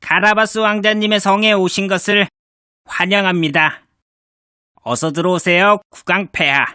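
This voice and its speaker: An actor playing a clever, scheming male cat in boots who talks politely and flatteringly in a cartoon. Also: high-pitched, normal speed, clear, resonant tone. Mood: courteous. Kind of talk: cartoon voice acting.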